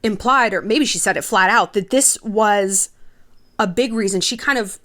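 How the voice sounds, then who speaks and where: A woman talks straight to her online audience as the host of the video. Her voice sits at an average pitch for a woman. She is chatty and irreverent, delivering gossipy commentary in a casual, sassy style and swearing freely. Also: normal speed, a bit bright.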